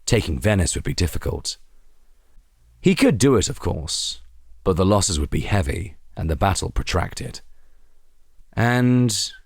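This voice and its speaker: A man reading a scripted historical story as a narrator, in a measured, deliberate, theatrical way. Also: low voice, gravelly, no room sound, studio quality. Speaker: audio drama narrator.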